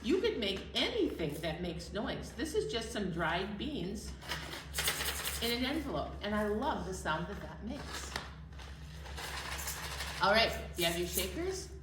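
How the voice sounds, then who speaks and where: A woman's voice: mid-range voice, very animated, a little slow, long pauses, noisy background. A woman hosting a children's library storytime, speaking in simple, encouraging phrases for young children and leading sing-alongs. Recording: roomy, distant mic, music.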